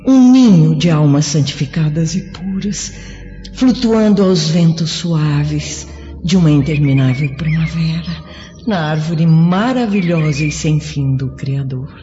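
A woman's voice: sits low, mellow. An actress with a Brazilian accent playing a noble, welcoming female spirit in a solemn, lyrical radio drama. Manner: slow, serene, lyrical. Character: noble, welcoming female spirit guiding a friend through heaven. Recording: muffled radio drama over music.

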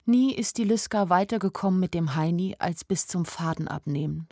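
A woman's voice: on the low side, normal speed, dark tone, no room sound. An actress playing a naive, observant young village woman who narrates her story in a radio play in a plain-spoken, wry way. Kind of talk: first-person radio play narration in a literary adaptation. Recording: studio radio play with music underneath. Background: music.

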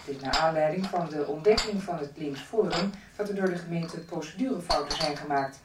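Woman acting a radio news reader, low voice, formal, echoey and bit far off, clattering noise. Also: brisk, natural voice. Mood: neutral, official. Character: sober female local radio newsreader. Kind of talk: formal scripted news bulletin.

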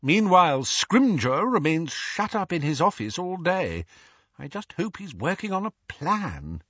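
A man's voice: a little high, big pitch swings, measured pace, a bit bright, no echo, pitched above the performer's own voice, heavily acted. A man doing a fussy, kindly wizard father. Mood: earnest worry. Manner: earnest, hesitant with fillers. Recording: dry, dull lo-fi audio.

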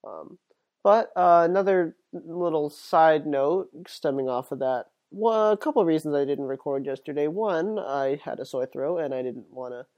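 A man's voice: a little high, moderate pace, a little mellow. A man hosting his own sports podcast while sick and sniffly, talking casually and rambling with plenty of fillers and restarts. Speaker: podcast host.

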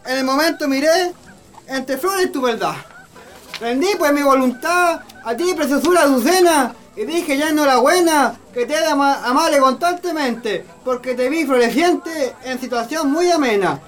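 A man's voice: very high voice, expressive, moderate pace, a bit bright. A man playing a flirtatious Chilean country cowboy declaiming singsong courtship verses in a radio play, with a Chilean accent. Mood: gallant, festive. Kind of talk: festive folk verse recitation in a radio play.

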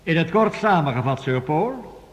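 A man's voice: on the high side, expressive, moderate pace, highs cut off, lightly acted. An actor playing a formal, authoritative chairman who keeps order at an assembly in a radio drama. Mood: formal, courteous. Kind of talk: Dutch radio drama acting, formal speech. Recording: long reverberant hall.

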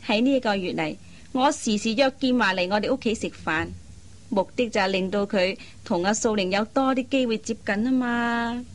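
A woman playing a kind, persuasive young elder sister in a Cantonese radio drama, coaxing and teasing in drawn-out phrases. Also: medium pitch, close to an everyday voice. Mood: playful, fond.

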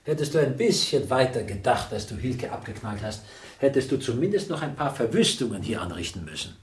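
A man's voice: mid-range voice, big pitch swings, brisk, breathy edge. An actor in a radio drama playing a weary, anxious man under suspicion, talking with sarcasm and reproach. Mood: reproachful. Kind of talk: radio drama acting, dialogue.

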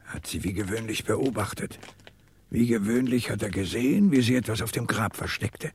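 An actor playing a shrewd, commanding police commissioner with a measured, dryly ironic manner in a radio crime drama. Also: male voice, on the low side, expressive, darkish tone, slightly rough. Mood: hushed, tense. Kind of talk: 1960s German radio crime drama acting.

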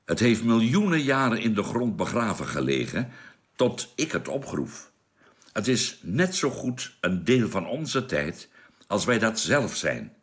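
A man's voice: fairly low pitch, sing-song, unhurried. An actor playing a knowing space crewman in a radio drama, explaining things patiently. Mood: calm, certain.

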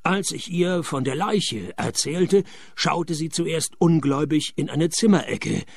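An actor playing a cynical private detective, narrating with dry, sardonic wit. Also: male voice, fairly high pitch, a little slow, vocal fry, breathy edge, heavily acted. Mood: deadpan. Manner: deadpan, sardonic. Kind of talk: radio play narration.

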